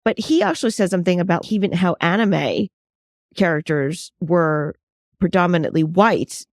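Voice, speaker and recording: A woman's voice: fairly low pitch, expressive, moderate pace, no room sound, clean studio sound. A woman hosting a podcast, asking questions and listening attentively with frequent short affirmations. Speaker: podcast host. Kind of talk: interview questions.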